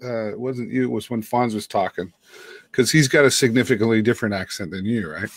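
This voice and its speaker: Animated middle-aged man on a video call. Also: lowish voice, fairly quick, husky, dry recording. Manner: animated, gestures a lot, many restarts. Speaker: co-host and teacher. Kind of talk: casual chat on a video call. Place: home room with framed pictures. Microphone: webcam mic on a video call.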